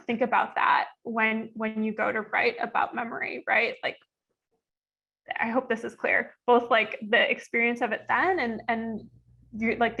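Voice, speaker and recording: Female moderator, forward bright tone with creak. Informal, tentative, checking in with listeners, slightly lo-fi online recording. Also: fairly high pitch. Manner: tentative, circling phrasing with checking "right?". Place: online video call.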